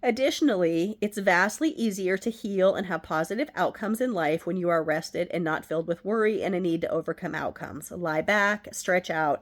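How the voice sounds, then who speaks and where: A middle-aged woman with a stuffed-up, nasal voice from a head cold, gently reading oracle cards at home and sharing the messages. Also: on the low side. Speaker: oracle card reader, channel host. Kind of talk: casual spiritual card reading. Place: at home, indoors.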